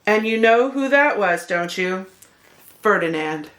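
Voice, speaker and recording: A woman's voice: mid-range voice, lively intonation, measured pace, fairly bright tone. A woman narrating a children's picture book expressively, as a read-aloud host speaking to young listeners.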